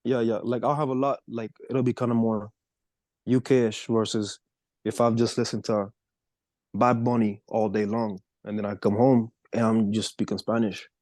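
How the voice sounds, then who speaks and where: A man's voice: fairly low pitch, little pitch movement, normal speed, darkish tone, no echo, clean studio sound. A young American man chatting casually as a podcast co-host, rambling with lots of fillers. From United States. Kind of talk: casual chat.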